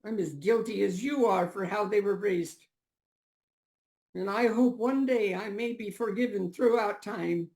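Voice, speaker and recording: A woman playing a remorseful parent confessing guilt, solemn and deliberate, at a dramatic table read. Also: medium pitch, a little mellow, lightly acted. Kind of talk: live table read acting.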